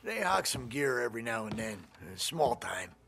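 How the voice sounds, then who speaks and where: A man playing a shifty but obliging underworld informant in a video game, with a gravelly voice. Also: mid-range voice, very animated, moderate pace. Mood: matter-of-fact. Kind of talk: dramatic video game cutscene acting.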